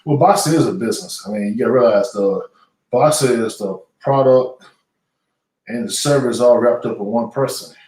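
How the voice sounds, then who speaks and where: A man's voice: mid-range voice, normal speed, darkish tone. An earnest middle-aged man talks through his answers with plenty of fillers and restarts. He sits back from the microphone, so his voice comes across distant. The room around him is echoey. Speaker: guest, retired boxer turned businessman. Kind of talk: conversational interview. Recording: echoey room audio, voice from far off the mic.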